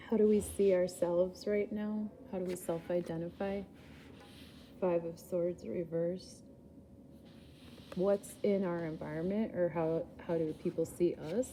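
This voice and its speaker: Female tarot reader, low and very warm tone, loose chatty delivery, a bit groggy. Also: steady tone, a little slow, slight background noise. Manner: rambling and chatty, laughing at herself. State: groggy from poor sleep. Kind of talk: casual tarot reading.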